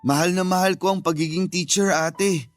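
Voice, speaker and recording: An actor playing a devoted, selfless younger brother who teaches school, speaking earnestly and affectionately in a sentimental radio drama. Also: male voice, high-pitched, steady tone, normal speed, dry recording, faint music behind, natural voice. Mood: earnest, reflective.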